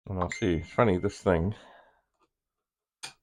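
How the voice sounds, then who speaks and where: Male hobbyist host, low register, unhurried and halting delivery, casual thinking-aloud demo. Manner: halting, muttering asides to himself. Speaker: vintage computer hobbyist host.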